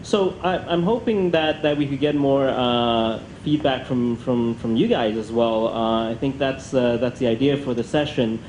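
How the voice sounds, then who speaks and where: A man's voice: mid-range voice, moderate pace, few pauses, darkish tone. Hesitant male moderator in echoey room. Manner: hesitant, rambling, repeats words. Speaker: panel moderator. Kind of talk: moderating a conference discussion. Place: multi-purpose function room at a conference. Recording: echoey function room, faint background noise.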